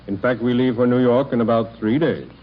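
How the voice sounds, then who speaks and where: Husky, genial older tycoon father, played by a man. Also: medium pitch, brisk, natural voice. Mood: brisk, commanding. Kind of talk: 1930s-40s radio acting. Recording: lo-fi old radio broadcast.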